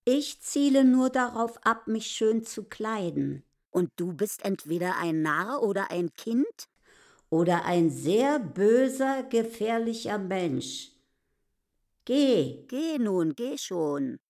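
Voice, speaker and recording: A woman's voice: medium pitch, big pitch swings, slow, darkish tone. An actress playing a haughty, suspicious village innkeeper, proud of her clothes, in a German radio drama with an imperious, probing manner. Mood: indignant, dismissive.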